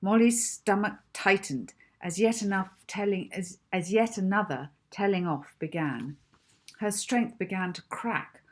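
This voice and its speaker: A woman's voice: mid-range voice, unhurried. A woman narrating a children's audiobook, reading scripted story prose expressively as its author.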